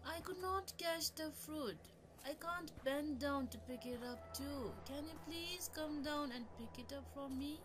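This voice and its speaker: Woman playing a high-pitched, pleading old woman. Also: measured pace, low-quality recording. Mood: meek, pleading. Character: frail-seeming old woman, a sly pleading demoness. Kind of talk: animated folktale character acting. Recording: clear music bed behind voice.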